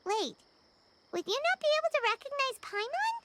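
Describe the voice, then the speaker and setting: A woman voicing a small, childlike, excitable fairy companion in a video game, squeaky and inquisitive. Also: fairly quick, heavy background noise. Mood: eager. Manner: speaks of self in third person, inquisitive. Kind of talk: animated game dialogue.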